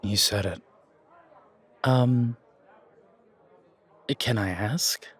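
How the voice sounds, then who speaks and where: A man's voice: on the low side, drawn-out words, fairly bright tone, slightly rough, some chatter behind, pitched below the performer's own voice, full character voice. A man playing a down-to-earth, blunt human restaurant owner in an audio roleplay, plain-spoken and understated. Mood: hesitant. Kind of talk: fantasy audio roleplay acting.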